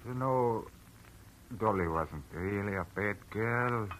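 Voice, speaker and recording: An actor playing a grieving, kindly older rural man who speaks haltingly, in 1940s radio drama style. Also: fairly low pitch, a bit bright. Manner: halting, hesitant. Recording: noisy old radio recording.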